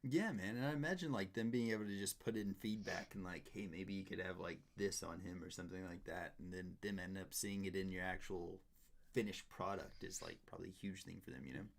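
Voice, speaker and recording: Male podcast host, slightly low voice, friendly and eager, casual questioning. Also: brisk, vocal fry. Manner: upbeat, eager, lots of likes and yeahs. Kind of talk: casual interview chat.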